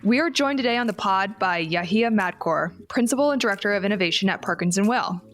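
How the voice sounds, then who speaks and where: A Canadian woman co-hosting a podcast, upbeat and quick to laugh as she chats and introduces a guest. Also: medium pitch, expressive, a bit bright, a little hiss or hum. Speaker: podcast co-host.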